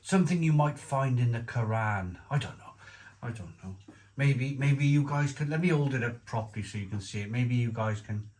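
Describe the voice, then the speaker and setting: A man's voice: medium pitch, normal speed, a little mellow. Rambling man reviewing beer. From Wales. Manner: chatty, rambling with many restarts and asides. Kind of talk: casual beer review to camera.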